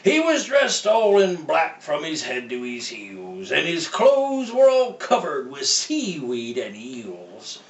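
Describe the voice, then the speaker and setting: A man's voice: a little high, wildly swooping pitch, slow pace, hardly any pauses, heavily acted. A man doing a jolly old pirate storyteller. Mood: storytelling. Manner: folksy, tags lines with "eh?", pirate slang. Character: jolly old pirate, Santa's seafaring cousin. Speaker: storybook reader. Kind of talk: in-character read-aloud. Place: room, reading to a computer. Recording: roomy, mic at a distance.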